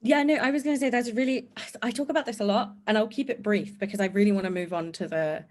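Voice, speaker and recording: A woman, a podcast guest who speaks in a candid, animated way and is quick to laugh. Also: on the high side. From England. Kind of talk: conversational podcast discussion.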